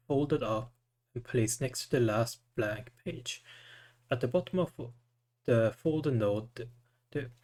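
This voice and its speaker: A man doing casual live game commentary and reading aloud. His pitch is average and his pace very slow and halting, often stumbling over the words. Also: a little mellow. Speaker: game streamer.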